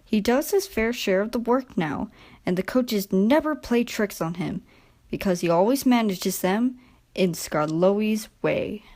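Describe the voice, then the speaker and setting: A woman narrating a children's story from a script in an expressive, deliberate storytelling manner. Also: mid-range voice.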